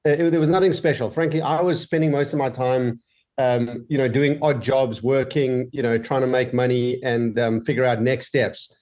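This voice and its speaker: A man's voice: medium pitch, moderate pace. A man in his forties from South Africa with a mixed South African and Australian accent, chatting as an interview guest from his home office, with lots of fillers and restarts. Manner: relaxed, many fillers and restarts. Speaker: guest entrepreneur. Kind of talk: casual interview chat.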